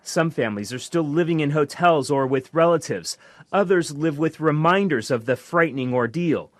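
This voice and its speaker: Fairly high-voiced American male news reporter. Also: lively intonation, normal speed, no room sound. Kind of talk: scripted news narration.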